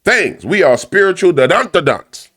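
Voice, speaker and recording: A man hosting a commentary show, ranting loudly and emphatically with a repetitive, preacher-like cadence. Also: high-pitched, very animated, normal speed. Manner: loud, big voice. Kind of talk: fiery commentary rant.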